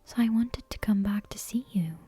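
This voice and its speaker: Woman reading as world-weary female wanderer in a storybook, solemn and quiet, very breathy mellow whisper. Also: mid-range voice, normal speed, soft background music. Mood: solemn resignation.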